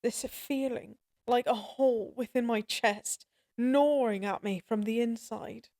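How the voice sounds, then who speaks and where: A woman playing a lonely, grieving goddess in an audio drama, speaking haltingly and with raw, pleading emotion. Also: fairly high pitch, fairly even intonation, warm tone. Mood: weary longing. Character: sorrowful, lonely forsaken goddess, grieving and guilt-ridden. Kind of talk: scripted audio roleplay drama acting.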